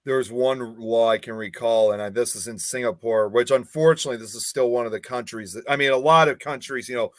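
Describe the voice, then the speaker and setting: A man's voice: medium pitch, a little fast, hardly any pauses, dry recording. Hesitant man, dry close sound. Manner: hesitant, full of fillers. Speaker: panel co-host. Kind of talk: casual panel chat.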